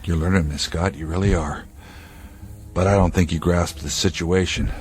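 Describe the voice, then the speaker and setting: An actor playing a tough, level-headed state trooper in a 1960s TV drama, speaking in terse commands. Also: male voice, sits low, steady tone, normal speed, close to an everyday voice. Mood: grim, resolute. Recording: vintage TV soundtrack with music.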